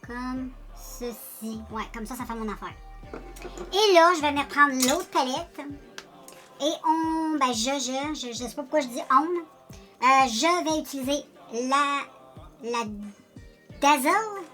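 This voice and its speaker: Chatty high bright Quebec woman. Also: slow pace, soft background music. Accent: Quebec French. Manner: chatty, rambling, self-deprecating, talks directly to viewers. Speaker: beauty vlogger. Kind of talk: casual makeup tutorial chat.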